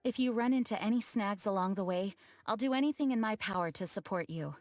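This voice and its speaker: Actress as composed young knight leader lady in an anime game, mid pitch, formal, soft music behind. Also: lightly acted. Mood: gracious. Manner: measured, formal, sighing. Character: composed young female knight commander, earnest and dutiful. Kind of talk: anime video game voice acting. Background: background music.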